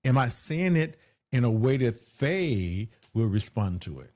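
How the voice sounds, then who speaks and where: A man's voice: medium pitch, sing-song, measured pace, darkish tone. Man, guest in an interview, big voice, emphatic, repeats key phrases. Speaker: guest, hypnotherapist. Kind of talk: conversational interview.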